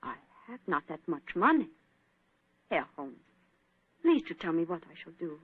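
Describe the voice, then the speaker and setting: A woman's voice: on the low side, big pitch swings, normal speed, breathy edge, dry recording. An actress playing an anxious young female concert pianist from Vienna, speaking broken English with a German accent, in 1940s radio acting style, heard on a dry, tinny old radio broadcast.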